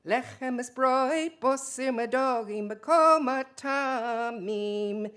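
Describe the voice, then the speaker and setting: High, loud, drawn-out singing Yiddish ancestor by a woman. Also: smooth, soft background music. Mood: chanting. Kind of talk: Yiddish folk song in stage reading.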